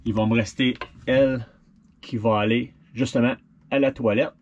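A man's voice: mid-range voice, moderate pace, dull audio, a little hiss or hum. Casual Québécois man explaining boat gear. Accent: Québécois French. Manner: relaxed, thinks aloud with fillers, mixes in English boat terms. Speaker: sailboat owner showing a refit. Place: small boat cabin, indoors.

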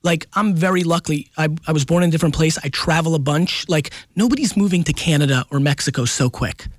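A man of about forty, a guest on a radio show, talking in an emphatic, animated way and often tagging "right?" onto his points. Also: on the high side, quick pace, dry recording, studio quality. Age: 40s. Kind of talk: casual radio interview. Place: radio studio. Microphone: studio microphone up close.

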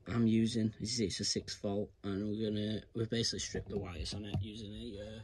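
A man with a fairly low voice walks viewers through a hands-on demonstration in a casual, chatty way. He is recorded indoors, with clear background noise and clicks from handling objects. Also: level tone, fairly quick, darkish tone. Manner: informal, addresses viewers as "guys". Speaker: video creator giving a tutorial. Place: indoors, carpeted room.

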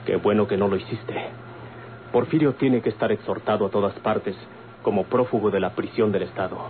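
A man's voice: fairly low pitch, a little fast, natural voice. A man playing a composed, cautious Mexican man who reasons and advises in an old-time radio drama. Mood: cautious, explaining. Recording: tinny, hissy old radio recording.

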